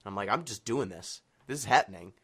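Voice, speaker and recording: A man hosts a casual interview podcast. His voice is a little on the low side, and he speaks conversationally with his guests. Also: expressive, fast, fairly bright tone, slightly rough. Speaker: podcast host.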